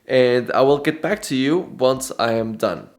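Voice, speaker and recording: A young man vlogging his day and casually talking through trading charts, relaxed and self-deprecating, with frequent fillers. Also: mid-range voice, unhurried. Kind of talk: casual vlog and market commentary.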